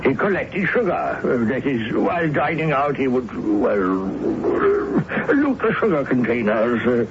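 A dull, clearly hissy radio recording carries a prim manservant. He is deferential and flustered, stammering and fussing politely through his explanations in a middle-pitched voice. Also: huge pitch swings, takes it slowly, few pauses, exaggerated voice. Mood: hesitant explaining. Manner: hesitant, stammering, fussy, polite. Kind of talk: radio drama acting. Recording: dull noisy old radio broadcast.

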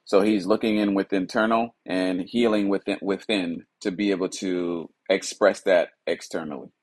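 A man with a fairly low voice speaks as an artist presenting his own work. He comes from Liberia and was raised in the United States. His delivery is unscripted and reflective, with emphatic phrases and conversational asides. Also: fairly even intonation, moderate pace. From Liberia, West Africa, raised in the United States. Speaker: contemporary mixed-media artist. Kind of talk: explaining own artwork, unscripted artist talk.

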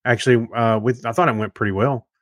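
A man's voice: medium pitch, fairly even intonation, fast, dry recording. A man co-hosting a tech podcast, chatting in an easygoing way and chuckling between lines. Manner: easygoing, chuckling, reads listener mail. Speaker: podcast co-host. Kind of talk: casual chat.

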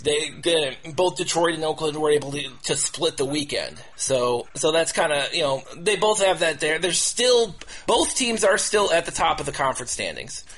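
A man with a somewhat high-set voice hosts a casual sports discussion. He speaks in a halting, looping way, often repeating the start of a phrase before carrying it through. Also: animated, fairly bright tone, low-quality recording. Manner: halting, repetitive, restarts phrases over and over. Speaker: podcast host.

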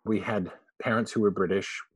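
A man's voice: fairly low pitch, steady tone, normal speed, slightly rough, no room sound. A man in his forties, a guest telling family stories in a chatty, laughing way. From born in Canada, raised abroad. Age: 40s. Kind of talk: casual storytelling interview.

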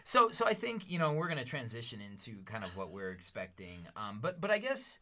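Bright-voiced male teacher podcast host. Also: medium pitch, big pitch swings, brisk. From Denver, USA. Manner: earnest, reflective, laughs often. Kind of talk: casual podcast chat.

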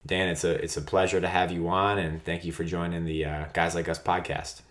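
Deep-voiced devout podcast host. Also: male voice, level tone, a bit bright, slight background noise. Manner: halting, many fillers and restarts, devout. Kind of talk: podcast host intro and interview.

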